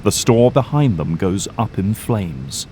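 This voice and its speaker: A man's voice: lowish voice, sing-song, takes it slowly, heavy background noise. A man narrating a scripted adventure drama in a storytelling style.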